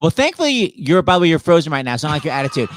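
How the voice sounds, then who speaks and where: A man's voice: a little high, big pitch swings, rapid-fire, slight background noise. A man hosting a casual video-call chat, playful and teasing as he talks with guests. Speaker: host.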